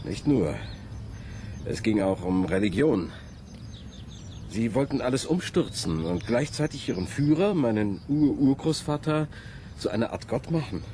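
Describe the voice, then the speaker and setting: An actor playing a troubled, intense young male student in a radio drama, speaking in a brooding, halting way. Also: medium pitch, lively intonation, mellow, slight background noise, lightly acted. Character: troubled young male student haunted by his ancestor's memories. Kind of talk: German radio drama dialogue.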